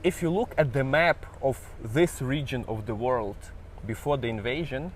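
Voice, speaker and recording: A man's voice: medium pitch, moderate pace, a little hiss or hum. A Ukrainian man with a Ukrainian accent hosting an interview, speaking thoughtfully in English with slightly non-native phrasing. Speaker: host. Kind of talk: casual interview.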